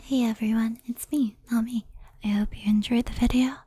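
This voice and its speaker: A woman who creates ASMR roleplays, speaking in a soft, whispery way to her listeners. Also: a little high, steady tone, moderate pace, a little hiss or hum. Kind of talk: soft-spoken channel sign-off.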